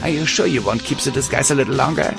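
A man voicing a shrewd, practical female pony trader with a quick, matter-of-fact manner, in an audiobook. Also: mid-range voice, gravelly, music in the background, pitched above the performer's own voice, natural voice. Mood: advising.

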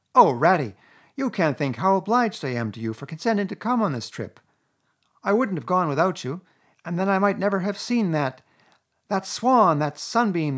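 Guy doing a giddy posh toad, way up high and loud, gushing and swooning, kinda husky. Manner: rapturous, gushing, swooping high. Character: boastful dreamy male toad, gentleman.

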